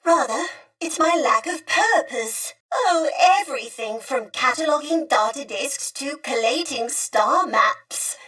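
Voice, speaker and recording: A woman's voice: high voice, lively intonation, a little slow, bright, forceful tone, distant mic, heavily acted. A woman voicing a genteel, chatty, two-century-old female droid in a video game, with prim, courteous, wistful and theatrical phrasing. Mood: warm, curious. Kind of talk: scripted video game character acting.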